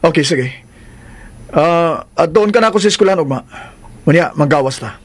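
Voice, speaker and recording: An actor with a Cebuano accent playing a secretive, placating unfaithful husband who speaks earnestly in a radio drama. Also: male voice, fairly high pitch, lively intonation, moderate pace. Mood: placating, furtive.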